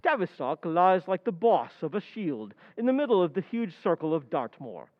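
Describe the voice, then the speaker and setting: A man playing an eager, genteel detective's companion, narrating an old-time radio drama in a formal, expressive storytelling manner. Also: high voice, normal speed, no echo. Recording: dull lo-fi sound, faint noise.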